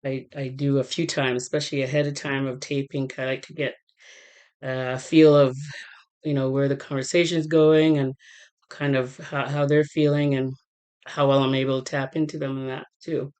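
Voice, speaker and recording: A woman tarot reader talking casually to her viewers, halting with many fillers and restarts while getting over a cold. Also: a bass voice, level tone, a little mellow, smooth. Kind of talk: casual rambling vlog talk.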